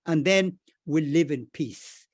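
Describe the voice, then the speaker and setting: A man panelist giving reflective closing remarks like a blessing, halting and often repeating words and phrases. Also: a little high, no echo, clean studio sound. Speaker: scholar, panelist.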